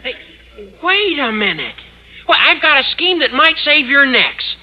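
A man's voice: very high voice, very animated, measured pace, heavily acted. A man playing an eager, brash, slangy teenage schoolboy in radio sitcom style. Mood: eager scheming. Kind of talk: 1940s-50s radio sitcom acting. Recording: muffled old radio recording.

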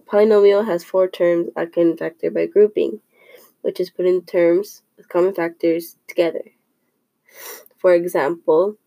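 A woman's voice: on the low side, gravelly, mellow, no echo, poor audio. A young woman with a sniffly, slightly stuffed-up voice, explaining a math lesson for a podcast, thinking aloud as she goes. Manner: slow and careful, thinking aloud with small restarts. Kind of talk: informal classroom podcast explanation.

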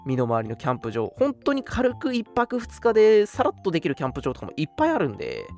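A young adult man hosting a live web radio show, chatting in an animated, colloquial way. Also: fairly high pitch, fast. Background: background music.

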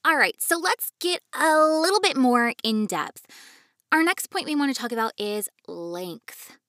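A woman's voice: high-pitched, lively intonation, measured pace, fairly bright tone, faint music behind. A woman hosting an advice podcast in a conversational, reassuring way. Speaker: podcast host.

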